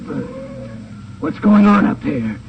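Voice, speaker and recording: A man's voice: high voice, sing-song, unhurried, creaky, heavily acted. An actor playing a nervous, gullible American businessman with a hesitant, flustered delivery in an old radio crime drama. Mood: alarmed. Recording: very noisy, dull, distant radio recording.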